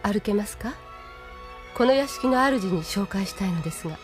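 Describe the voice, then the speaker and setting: An actress playing a polite, composed young woman, a colonel's aide, in an audio drama with gently formal delivery. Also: medium pitch, lively intonation, normal speed, faint music behind, natural voice. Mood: gentle, courteous. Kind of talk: Japanese audio drama acting.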